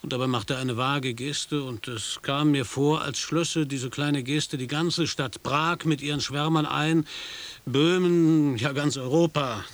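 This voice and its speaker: A man's voice: a little high, steady tone, measured pace. A man narrating a radio drama, telling a story with emphasis on quotes.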